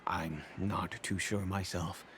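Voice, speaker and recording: Middle-aged nobleman, low voice, formal, measured, grave, video game character, faint background noise. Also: level tone, fairly bright tone. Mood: somber recollection. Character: dignified middle-aged father, wealthy head of a noble family.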